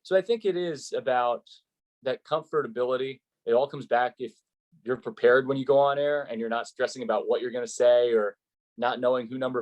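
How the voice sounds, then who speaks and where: A man's voice: medium pitch, low-quality recording. An American man, a sports play-by-play announcer, giving advice conversationally in an interview and explaining with examples and rhetorical questions.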